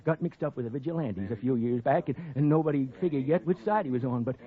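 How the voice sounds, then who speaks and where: An actor with an American accent playing a folksy, hesitant, deferential hotel manager in a 1950s radio western. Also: male voice, medium pitch, fast, lightly acted. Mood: wary. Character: eager, deferential frontier hotel manager, nervous American man. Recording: muffled old radio recording.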